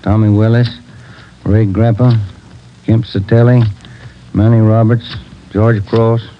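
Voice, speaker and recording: A dry joking cop partner, lowish voice, dull hissy radio sound. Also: male voice, level tone, slow. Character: wry male detective partner. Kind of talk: 1950s radio drama acting. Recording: dull old radio transcription with faint hiss.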